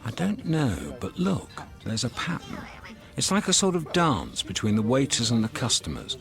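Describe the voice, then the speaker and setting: An actor playing a flippant, cowardly space hitchhiker in a radio drama, sardonic and breezy. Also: male voice, mid-range voice, huge pitch swings, moderate pace, creaky, fairly bright tone, soft background music, exaggerated voice. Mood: curious.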